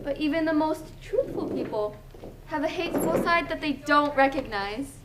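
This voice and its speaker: A woman acting in an amateur stage play as a young woman's sly, taunting inner self, speaking in a deliberate, insinuating way. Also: high voice, expressive, heavy background noise. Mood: cold, knowing.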